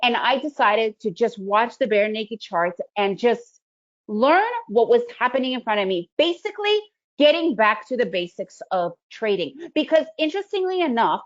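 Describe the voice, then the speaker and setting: A middle-aged woman whose first language is Russian leads a trading webinar in a fairly high, conversational voice, often checking in with "right?". She comes through a video call with slightly dull, lo-fi sound. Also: expressive, normal speed. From Soviet Union, raised in the United States. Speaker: day trading educator. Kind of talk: webinar presentation.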